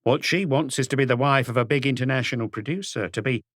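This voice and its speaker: A man's voice: mid-range voice, fast talker, a bit bright, no echo, studio quality, pitched above the performer's own voice, lightly acted. A man voicing a plump, gossipy middle-aged woman who runs a café, in an audiobook reading. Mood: gossiping, scornful. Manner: chattering, scornful, indignant.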